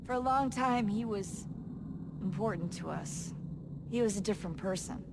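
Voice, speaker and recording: A woman's voice: mid-range voice, normal speed, heavy background noise, close to an everyday voice. An actress playing an earnest, troubled young woman in a modern covert team, speaking hesitantly and sincerely in a video game. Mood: sad, bitter. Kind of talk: naturalistic video game acting.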